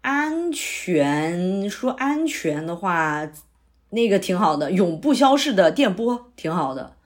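An eager male playwright in an audio drama , voiced by a woman, pitching ideas in a chatty, persuasive way. The voice sits mid-pitch and swings widely up and down as he talks. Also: very animated, slow pace. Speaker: playwright character.

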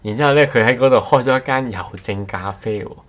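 A man's voice: mid-range voice, fairly quick, a crowd in the background. A man co-hosts a casual podcast, talking hesitantly and restarting his phrases. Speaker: podcast co-host.

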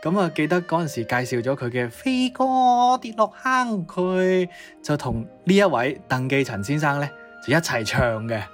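A man's voice: on the high side, sing-song, normal speed. A man co-hosting a chatty music-history programme in an exclamatory, reactive way. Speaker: podcast co-host. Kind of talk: conversational music-history commentary.

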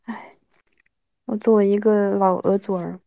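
A woman's voice: medium pitch, steady tone, measured pace, creaky, no echo, highs cut off. A woman in her thirties chatting casually like with an old friend, in a playful, self-mocking way. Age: 30s. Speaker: podcast host.

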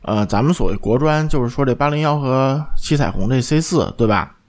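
A man's voice: medium pitch, fairly quick, a little mellow. A man co-hosting a podcast, chatting about audio gear in a blunt, colloquial way and often tagging points with checks for agreement. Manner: blunt, colloquial, seeks agreement with tag questions. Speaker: podcast co-host.

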